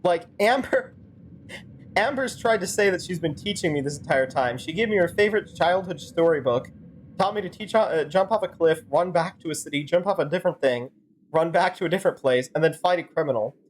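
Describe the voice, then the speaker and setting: A woman's voice: on the low side, expressive, brisk, a little hiss or hum. A woman streamer improvising rambling, sarcastic commentary and role-play while playing a game. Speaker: streamer fan-dubbing a game.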